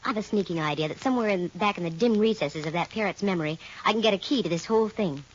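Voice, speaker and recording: A woman playing a brisk, sharp-witted private detective, acting and narrating in the style of 1940s radio. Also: medium pitch, a little hiss or hum, close to an everyday voice. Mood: brisk, intent. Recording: muffled vintage radio recording. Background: music.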